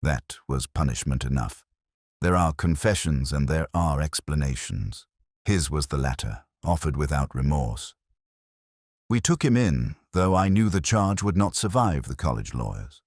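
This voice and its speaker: A man playing a weary, melancholy English police detective, narrating a radio mystery in a measured, literary, introspective manner. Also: very deep voice, very animated, no echo, studio quality, exaggerated voice. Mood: sombre, reflective. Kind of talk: slow literary first-person detective narration, radio drama.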